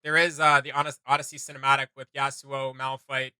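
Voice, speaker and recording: A man hosting a video game reaction show, chatting casually in a rambling, excitable, slangy way. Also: mid-range voice, brisk, smooth, dry recording.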